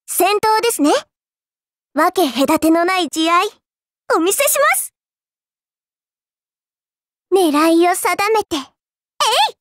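A dainty little game-character girl speaks in an extremely high, cutesy voice. Her words are prim and polite, yet laced with teasing condescension and a cruel streak. The sound is close and dry. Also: expressive, slow, pauses often, dry recording, heavily acted. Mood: polite, playful. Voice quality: childlike, cutesy. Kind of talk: Japanese mobile game character voice lines.

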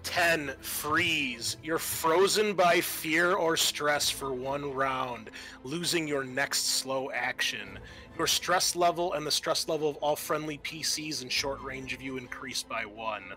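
A man's voice: a little high, slow, sharp tone, faint music behind, poor audio. A man narrating a tabletop horror game as game master, improvising in an informal, descriptive way with some salty language.

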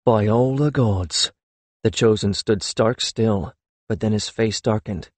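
A man's voice: fairly low pitch, slow, darkish tone, no echo. A man reading a fantasy novel aloud as an audiobook narrator.

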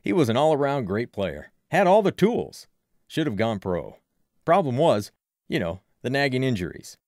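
A man voicing a burly, protective local sports fan for an audiobook, loud, punchy and colloquial. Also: mid-range voice, sing-song, normal speed, no echo, studio quality, natural voice. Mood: chummy, opinionated. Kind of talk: audiobook character voice.

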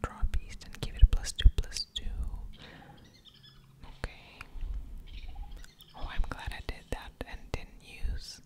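Slow whispering ASMR woman. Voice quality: unvoiced breathy whisper with mouth sounds. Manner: slow, unhurried murmured thinking aloud. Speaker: gaming ASMR video host. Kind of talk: whispered ASMR game commentary.

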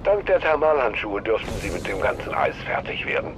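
A man's voice: fairly low pitch, sing-song, brisk. A man playing a polite, dutiful butler in an audio drama, formal and courteous. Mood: helpful. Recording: dull radio-like sound, music behind.

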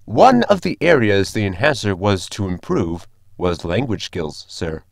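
A man's voice: fairly low pitch, sing-song, a little slow, creaky, dry recording, clean studio sound, heavily acted. An actor playing a polite, dryly logical male android crewman in a formal, precise manner for a sci-fi comedy audio drama. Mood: explaining, dry.

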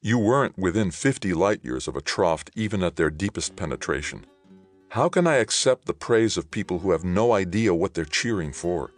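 A man's voice: sits low, animated, moderate pace, soft background music, pitched above the performer's own voice. A man voicing a troubled, earnest male war veteran in an audiobook. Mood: frustrated. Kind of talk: audiobook character dialogue.

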